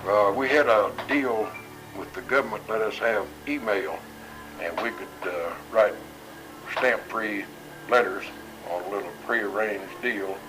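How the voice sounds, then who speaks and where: An elderly man, a war veteran, recalling his service in a plain, halting, matter-of-fact way. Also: on the low side, over music, low-quality recording. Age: 80s or older. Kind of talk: oral history interview recollection.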